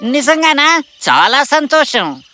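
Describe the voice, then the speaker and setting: A man voicing a naive young prince in an animated fairy tale, boyish, earnest and a little petulant. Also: very high voice, takes it slowly, soft background music, exaggerated voice. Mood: eager.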